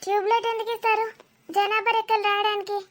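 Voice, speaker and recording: A woman's voice: very high voice, level tone, fast. A woman playing a young city visitor in a comedy cartoon, polite and questioning, puzzled, reserved and slightly impatient.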